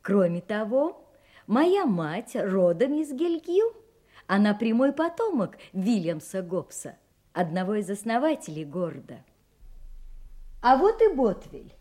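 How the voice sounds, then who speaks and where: An actress playing a refined, self-possessed young gentlewoman, candid and faintly mysterious, in an audio drama, speaking elegantly with dramatic pauses. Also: medium pitch, big pitch swings. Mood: softly excited. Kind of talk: Russian audio drama acting.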